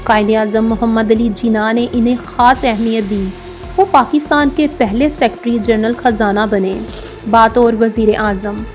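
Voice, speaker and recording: High-pitched woman narrating over music. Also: fairly even intonation, moderate pace, a little mellow. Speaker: documentary narrator and channel presenter. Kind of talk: scripted documentary narration.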